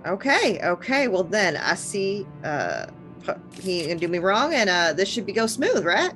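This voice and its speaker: A woman's voice: medium pitch, sing-song, normal speed, fairly bright tone, soft background music. A woman voicing a brash female military lieutenant with a Texas drawl, blunt and folksy. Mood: wary. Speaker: guest player.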